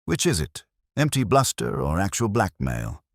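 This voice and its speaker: A man's voice: sits low, huge pitch swings, normal speed, no echo, clean studio sound, voice pushed up, full character voice. A man voicing a cool, wisecracking private investigator who questions people in a pleasant but needling way.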